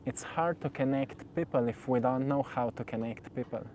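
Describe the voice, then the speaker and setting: Mellow-voiced young man, native Slovenian. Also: medium pitch, normal speed, a little hiss or hum. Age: 20s. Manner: gentle, searching, repeats phrases. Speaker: spiritual video host. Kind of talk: reflective spoken monologue.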